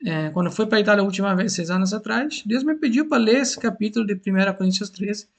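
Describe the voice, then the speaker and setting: A man from Italy with an Italian accent, giving an informal Bible lesson in a halting, repetitive way with frequent tag questions. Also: high voice. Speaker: Bible teacher. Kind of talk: informal Bible teaching to camera.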